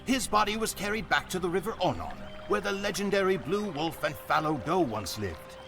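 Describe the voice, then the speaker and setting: A man's voice: high voice, sing-song, brisk, vocal fry, exaggerated voice. An actor playing a solemn, proud Mongol warrior who narrates his people's conquests in a grave, theatrical manner for a game cutscene. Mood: reverent, solemn. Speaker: campaign narrator. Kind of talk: dramatic scripted cutscene narration. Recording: music behind the voice. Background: music.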